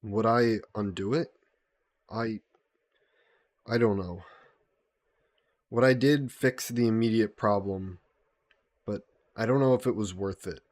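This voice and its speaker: Low-voiced, courteous male vampire played by a man. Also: slow, pauses often, darkish tone, no room sound. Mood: regretful, reflective. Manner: polite, formal, hesitant phrasing. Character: gentle, guilt-burdened male vampire employer caring for a guest. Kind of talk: intimate audio roleplay acting.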